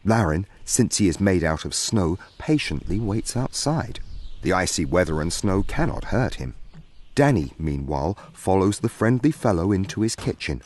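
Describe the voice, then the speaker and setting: A man narrating a scripted fantasy story in a dramatic, deliberate way. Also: low-pitched, big pitch swings, a little mellow. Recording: music behind.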